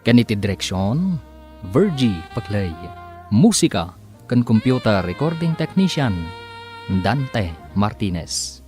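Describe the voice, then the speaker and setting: A male radio drama announcer with an Ilocano Filipino accent reads scripted narration. His mid-pitched voice moves in a lively melody over faint music. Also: slow. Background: soft music.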